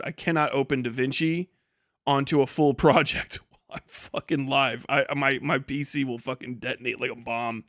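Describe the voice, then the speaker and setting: A man's voice: medium pitch, fairly bright tone, no echo, clean studio sound. A tired man casually chatting and ranting on a livestream as its host, rambling and bluntly swearing. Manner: rambling, blunt, heavily profane. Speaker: livestream host and video creator.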